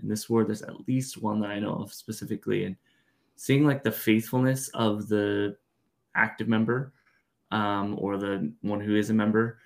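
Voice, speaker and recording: A man's voice: on the low side, flat delivery, moderate pace. A man co-hosting a podcast, talking thoughtfully about faith and marriage in a rambling way with many fillers. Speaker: podcast co-host. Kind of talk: casual podcast chat.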